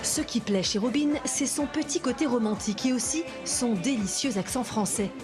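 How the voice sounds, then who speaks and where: A woman presenter reads a TV report in a clear, lively scripted delivery. Her voice is slightly high. Music plays clearly beneath her. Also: normal speed.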